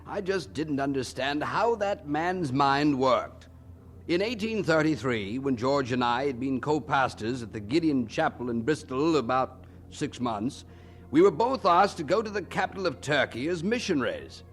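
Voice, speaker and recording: Expressive, wry preacher recalling old times acted. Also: male voice, medium pitch, normal speed, close to an everyday voice. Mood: reflective, wry. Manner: reflective, conversational, wry. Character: candid, wryly puzzled male preacher recalling his co-pastor. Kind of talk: storytelling narration in radio drama.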